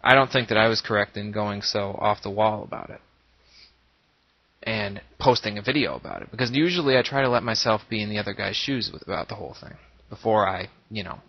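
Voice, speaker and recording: A dull, lo-fi recording of a man with a fairly low, slightly nasal voice, a little stuffed up with a cold. He talks off the cuff in an earnest, reflective way, explaining his thinking like an online video creator. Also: brisk. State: coming down with a cold. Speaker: YouTube Let's Play creator. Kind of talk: casual unscripted monologue. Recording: boxy echoing room, dull lo-fi microphone.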